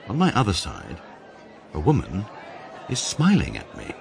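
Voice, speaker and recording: An actor playing a pompous, precise middle-aged English barrister, narrating his own life deadpan in an upper-class accent for a radio comedy drama. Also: low-pitched, measured pace, vocal fry, a faint crowd, exaggerated voice. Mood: dry, self-satisfied.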